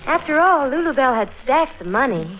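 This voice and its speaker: Sweet young female romantic interest, high lively voice, vintage radio sitcom. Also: moderate pace, rough recording. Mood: sweet, coy. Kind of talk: 1940s radio sitcom acting.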